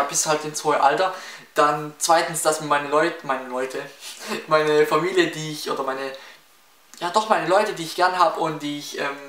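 A man's voice: on the high side, sharp tone, slight background noise. A seventeen-year-old young man with a Swabian accent answering viewer questions in a chatty, rambling way, full of fillers and restarts. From Baden-Württemberg, near Stuttgart. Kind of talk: casual Q&A vlog. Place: small room in front of window blinds, indoors. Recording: echoey room, slightly distant mic.

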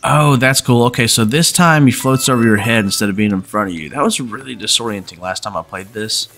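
A man's voice: medium pitch, a little fast, fairly bright tone. A man in his thirties with a stuffed-up nose from a cold, hosting a casual let's-play and chatting as he plays, sometimes reading the game's dialogue aloud in his own voice. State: congested from a cold. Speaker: gaming channel host. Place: home room, webcam in corner. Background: faint game music.

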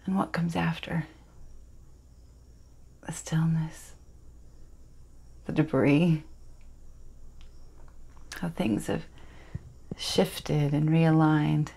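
A woman performing a dramatic monologue as an intense teenage girl entranced by magic, in a hushed, conspiratorial half-whisper. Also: low-pitched, fairly even intonation, extremely slow, gravelly, long pauses, slightly rough, full character voice. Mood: hushed, yearning.

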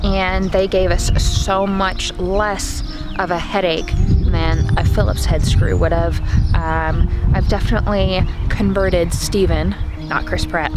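A woman's voice: fairly low pitch, steady tone, takes it slowly, low-quality recording. A woman in her thirties chatting casually to a close-held camera outdoors in a front yard, explaining step by step with plenty of fillers. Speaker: vlogger. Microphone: camera held close to face. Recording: background music under voice.

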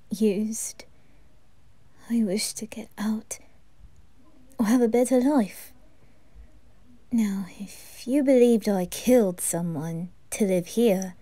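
A woman voicing a wistful, lovesick young gentleman in a halting, often whispered ASMR roleplay monologue. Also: mid-range voice, dry recording. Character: wistful, lovesick aristocratic young man, former orphan.

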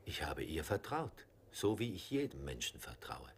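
A trusting monk with a very deep voice in a dubbed film. He tells his story calmly and reflectively, and his pitch rises and falls in a lively way. He never sounds guarded. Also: normal speed, creaky, a little hiss or hum, full character voice. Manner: calm, reflective, unguarded. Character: gentle, trusting male Carthusian monk. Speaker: narrator recounting his journey.

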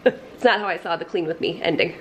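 A woman in her thirties chatting casually as she cleans her home on camera, self-deprecating and quick to laugh. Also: fairly low pitch, background noise. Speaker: lifestyle vlogger. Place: kitchen and entryway of a house, indoors.

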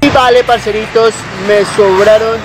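Young Bogotá vlogger, slightly high voice, casual street chat to camera, loud music bed. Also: male voice, steady tone, moderate pace, low-quality recording. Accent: Bogotá Colombian Spanish. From Colombia. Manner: chatty, calls viewers parceros. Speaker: cycling vlogger. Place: outdoors on city streets. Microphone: handheld or mounted camera close up.

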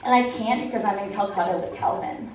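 A woman's voice: medium pitch, fairly quick, darkish tone, lightly acted. A woman voicing a breezy, self-absorbed famous young woman in a comic story read live. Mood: casually bossy. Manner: breezy, bubbly, oblivious. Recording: roomy, distant, noisy live-event recording.

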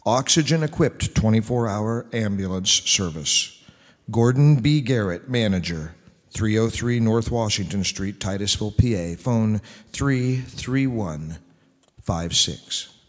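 A man's voice: lowish voice, lively intonation, a little slow. A middle-aged man reading scripted announcements as host of an old-time radio style stage show, with a formal showman's delivery. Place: large church sanctuary stage. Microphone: microphone on a stand. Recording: reverberant hall.

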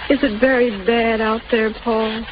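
High-voiced, frail, anxious mother played by an actress. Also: flat delivery, natural voice. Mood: anxious, pleading. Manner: tender, tearful, halting. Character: frail, starving, anxious mother of a young German soldier. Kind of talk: 1930s radio acting. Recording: noisy, muffled 1930s radio broadcast.

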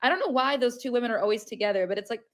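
A woman's voice: fairly high pitch, animated, racing. A woman on a podcast panel, talking bluntly and dismissively over a video call, filling her speech with "like". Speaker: panel guest. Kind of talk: casual political podcast chat.

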